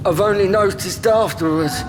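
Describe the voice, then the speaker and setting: An actor playing a scarred, servile, childlike henchman in an audio drama, halting and stammering with fear. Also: high-pitched, lightly acted. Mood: fearful, apologetic. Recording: long reverberant hall, music behind.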